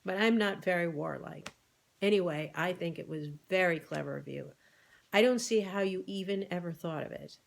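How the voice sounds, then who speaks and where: A woman's voice: fairly low pitch, moderate pace, gravelly. A woman voicing an earnest, worried male pig who reasons persuasively in an animal storybook. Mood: mild, modest.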